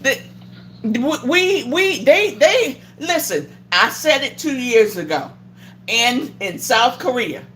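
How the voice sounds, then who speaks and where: A woman hosting a livestream chat, her pitch swinging widely as she rants. She swears freely and is openly indignant. She slips into other people's voices to act out the stories she tells. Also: fairly bright tone, slight background noise. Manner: profane, indignant, acts out quoted voices. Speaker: livestream host. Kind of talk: heated political chat on a livestream.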